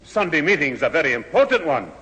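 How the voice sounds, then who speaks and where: A man's voice: fairly high pitch, sing-song, quick pace, pushed and bright. A man playing a bossy, easily riled old grandfather with a Northern English accent in a radio sitcom. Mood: indignant. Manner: blustering, grumbling. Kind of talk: 1970s radio sitcom acting. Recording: reverberant old radio recording.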